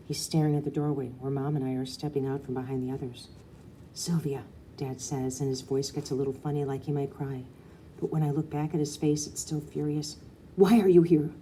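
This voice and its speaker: A woman reading a children's novel aloud, an expressive narrator with dramatic emphasis. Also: a bass voice, fairly quick, a little hiss or hum. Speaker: audiobook reader. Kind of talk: expressive storybook narration, first person as a girl.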